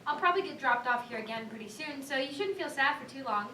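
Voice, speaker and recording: A woman's voice: high-pitched, animated, quick pace, sharp tone. A woman playing an eager, perky teenage girl in a staged reading of a cartoon script. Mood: cheerful. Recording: echoey room, distant mic, faint noise.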